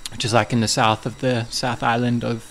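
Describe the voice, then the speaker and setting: A man's voice: mid-range voice, little pitch movement, a little fast, a bit bright. A young man from New Zealand, a musician guest talking casually and rambling, with many fillers and restarts. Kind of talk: casual interview chat.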